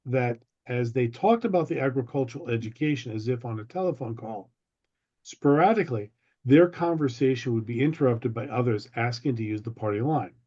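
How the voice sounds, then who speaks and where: A man with a mellow, relaxed voice presents a prepared history lecture. He speaks in a deliberate, storytelling way at a middling pitch. The sound is a little dull, as on a video call. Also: slightly rough. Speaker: presenter giving a history talk. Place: online video meeting.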